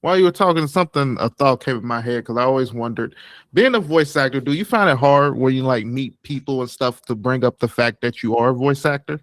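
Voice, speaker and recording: A man's voice: medium pitch, brisk, no echo. A man hosting an anime podcast, asking brief, casual questions and reacting with short remarks. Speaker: podcast host.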